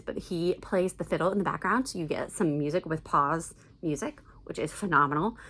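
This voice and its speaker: Lower-pitched, enthusiastic woman chatting casually. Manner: enthusiastic, emphatic. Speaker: book vlogger recommending family audiobooks.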